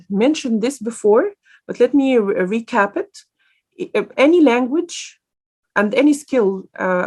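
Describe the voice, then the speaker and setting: A woman's voice: on the high side, a little mellow. Hesitant woman lecturer. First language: Arabic. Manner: informal and hesitant, many fillers and restarts. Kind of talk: live online lecture and Q&A.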